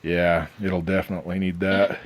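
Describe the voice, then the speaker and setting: A man's voice: low voice, level tone, normal speed. A middle-aged man giving a casual, plain-spoken hands-on narration of a repair, thinking aloud informally. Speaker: amateur radio restorer demonstrating a repair. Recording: music playing from a radio behind. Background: music from a vintage radio being tested.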